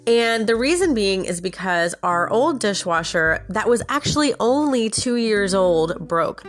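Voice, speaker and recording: A woman's voice: medium pitch, animated, a little slow, soft background music. A woman hosting a podcast with a stuffy, nasal voice, telling a personal story in a chatty, laughing way straight to her listeners. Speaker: podcast host.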